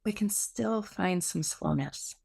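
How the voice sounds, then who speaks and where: A woman teaching meditation, giving gentle, soothing spoken instruction with unforced phrasing and long pauses. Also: fairly low pitch, vocal fry, husky.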